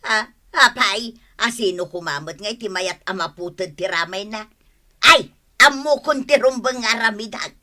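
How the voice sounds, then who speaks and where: A woman's voice: medium pitch, measured pace, bright, forceful tone. A woman with an Ilocano Filipino accent playing a vain, carefree village woman in a comedy radio drama. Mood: showy, dismissive.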